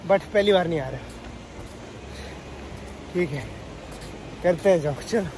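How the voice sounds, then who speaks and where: A man's voice: high-pitched, unhurried, soft background music. A young man chatting playfully to a phone camera he holds at arm's length outdoors on a beach at dawn. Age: young adult. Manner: lively, playful, teasing. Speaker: vlogger. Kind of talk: casual vlog chat.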